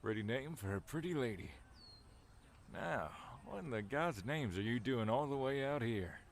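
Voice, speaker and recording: A man's voice: mid-range voice, expressive, normal speed, a little hiss or hum, natural voice. An actor playing a folksy, flirtatious, prying rustic man in an audio drama. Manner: drawling, flirtatious, inquisitive. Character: folksy, forward middle-aged rustic male stranger.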